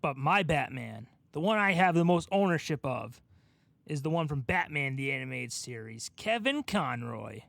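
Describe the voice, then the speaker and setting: A man's voice: on the high side, sing-song, moderate pace, creaky, a bit bright, dry recording. A man co-hosting a casual pop-culture chat, asking questions and giving his opinions in an informal way. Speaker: podcast co-host asking questions.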